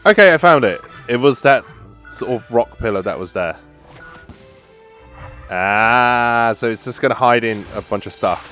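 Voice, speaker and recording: A man casually commentating on a game as he plays. His mid-pitched voice moves around a lot, reacting and repeating bits aloud, with faint music behind him. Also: lively intonation, unhurried, pauses often, fairly bright tone. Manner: echoes and reacts to the dialogue aloud. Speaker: gaming channel host.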